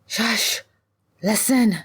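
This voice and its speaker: A woman voicing a cautious, diplomatic middle-aged tribeswoman in a fantasy audiobook, measured and motherly with some exasperation. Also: deep voice, darkish tone. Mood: wary.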